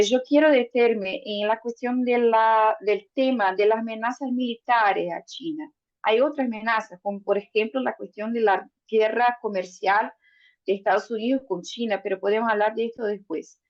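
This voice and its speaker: A woman whose first language is Portuguese, speaking as a sociologist guest on a panel, hesitant with many drawn-out "ah" fillers. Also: fairly high pitch, dull audio. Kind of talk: panel discussion.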